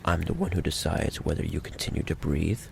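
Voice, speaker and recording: A man playing a menacing, impatient mafia boss in an ASMR roleplay, taunting the listener with slow, mock-courteous threats in a gravelly voice. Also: background noise, exaggerated voice. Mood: irritated, threatening. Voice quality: deep, gravelly. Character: menacing, possessive male mafia boss, smooth-talking and impatient.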